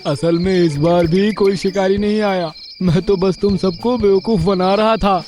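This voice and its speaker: A man's voice: high-pitched, fairly even intonation, unhurried, music in the background. A man voicing a mischievous young male deer in a cartoon story, gleeful and mocking. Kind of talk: Hindi cartoon moral story voice acting.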